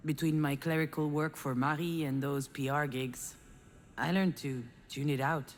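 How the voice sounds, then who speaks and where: An actress playing a failed screenwriter, a cynical, melancholic loner, in a measured, confiding, wry game monologue. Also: female voice, deep voice, steady tone, slight background noise, close to an everyday voice. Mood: resigned, intimate. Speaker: narrator protagonist of a visual novel. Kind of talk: introspective scripted monologue.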